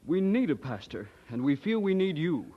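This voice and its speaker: An actor playing a polite, worried church representative in a radio drama, measured and deferential. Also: male voice, on the high side, big pitch swings. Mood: worried, pleading. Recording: dull old radio drama recording.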